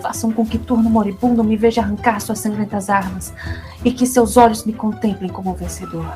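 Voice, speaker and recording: A woman's voice: mid-range voice, steady tone, a little fast, dark tone, natural voice. A woman playing a brave young warrior prince in an audio drama, speaking in a heroic, declamatory way. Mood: solemn defiance. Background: music.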